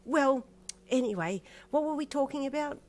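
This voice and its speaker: A woman's voice: high voice, animated, moderate pace, a little mellow, pitched above the performer's own voice. A woman doing a flustered rambling old lady. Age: older. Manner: rambling, indecisive, nervous. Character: flustered chatty older woman carer.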